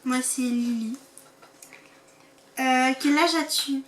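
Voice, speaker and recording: A giggly teenage girl from France reading out questions in a casual chat. Her voice is high and sits a bit away from the mic, with a faint hiss behind it. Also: steady tone, slow pace, recorded from a distance. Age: teens. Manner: giggly, asks questions plainly. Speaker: co-host asking questions.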